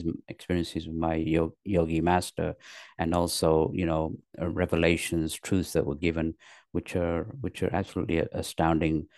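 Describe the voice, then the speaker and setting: A middle-aged man from Pakistan, raised in England, recounts his life as an interview guest. His voice sits very low with a gravelly edge, and he stumbles through restarts and fillers. The sound is dry and close. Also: darkish tone, no room sound. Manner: rambling, halting with many fillers and repeated words. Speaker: guest, actor and comedian. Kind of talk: casual interview storytelling.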